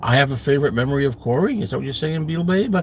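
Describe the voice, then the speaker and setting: A man's voice: medium pitch, expressive, rapid-fire, slight background noise. A middle-aged man with a Brooklyn accent, chatting casually from his car and answering viewers in a rambling, self-deprecating way. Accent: New York, Brooklyn. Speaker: live stream host. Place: parked car.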